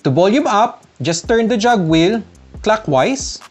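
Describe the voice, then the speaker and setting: A woman's voice: mid-range voice, animated, takes it slowly, a little mellow, faint music behind. A Filipino woman vlogger narrating a casual step-by-step tutorial. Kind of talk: tutorial narration mixing casual and instructional talk.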